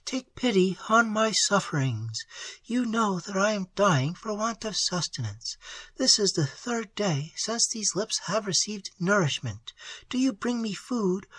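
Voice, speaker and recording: A woman's voice: medium pitch, a bit bright, dry recording. A woman playing a starving dungeon captive. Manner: pleading, weak, then frantic with hope. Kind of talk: dramatized audiobook reading.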